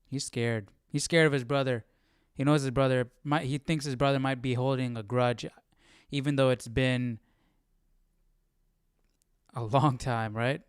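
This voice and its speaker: A man's voice: mid-range voice, steady tone, a little slow, pauses often, clean tone, dry recording, studio quality. A man hosting a casual live Bible study, thinking aloud and questioning, often checking with "right?".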